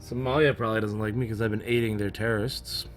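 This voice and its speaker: Hoarse male gaming host, musing aloud, relaxed play-along commentary. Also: fairly low pitch, fast talker, faint music behind. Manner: thinking aloud, musing while playing. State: losing his voice. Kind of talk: casual let's-play commentary.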